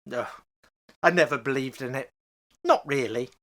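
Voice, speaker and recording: A woman's voice: extremely low pitch, normal speed. A woman playing a mild, homely working-class old husband and father in a radio play, speaking hesitantly. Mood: easygoing.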